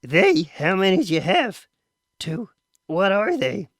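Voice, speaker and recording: A man's voice: huge pitch swings, slow, dry recording, studio quality, exaggerated voice. A man reading aloud voices a worried, protective mother in a raised, anxious voice. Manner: high, anxious and scolding.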